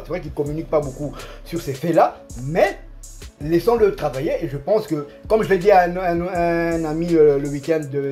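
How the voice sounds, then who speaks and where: A man with a Congolese French accent talking football as a pundit in an animated, casual way, emphatic and repeating his phrases. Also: sits high. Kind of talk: animated casual sports discussion.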